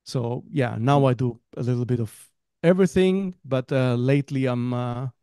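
A man's voice: mid-range voice, moderate pace, mellow, smooth, dry recording, clean studio sound. A man in his thirties from Greece, talking casually as a guest trainer in a webinar, rambling a little and laughing between phrases. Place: home room, on a video call. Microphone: headset with desk mic.

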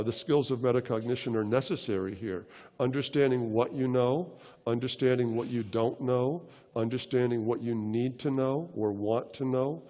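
An older man speaks on a large conference stage through a headset mic, lecturing at a measured pace and filling pauses with ums and uhs. His voice is mellow and of middling pitch. A long reverb from the hall trails his words. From United States. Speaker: keynote speaker. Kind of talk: conference keynote talk.

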